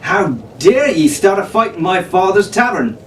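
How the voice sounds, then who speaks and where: A man's voice: high-pitched, big pitch swings, moderate pace, a little hiss or hum, voice pushed up. A man reading a story, voicing a scolding young tavern maid girl, heard in an echoey room from a distance. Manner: sharp, scolding.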